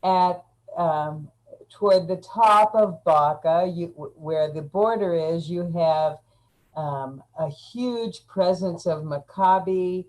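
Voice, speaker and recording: A woman guest chatting casually, halting often with fillers. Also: lowish voice, a little mellow, low-quality recording. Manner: slow, halting, frequent fillers. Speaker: interview guest. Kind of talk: casual online chat.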